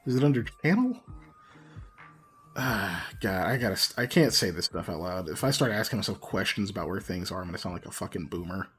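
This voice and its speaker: A man's voice: low voice, expressive, brisk, a bit bright. Male co-host of a casual stream, laughs a lot, swears, pokes fun at himself, faint music underneath. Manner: laughing, swearing, self-deprecating. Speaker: podcast co-host reading chat. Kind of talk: casual livestream chat.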